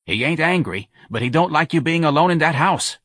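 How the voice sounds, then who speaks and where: A man voicing a loud, bossy older woman with a New Orleans accent, brash and pushy in an audiobook story. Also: fairly high pitch, expressive, brisk, slightly rough, no echo, studio quality, voice pushed up. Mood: urgent, gossipy.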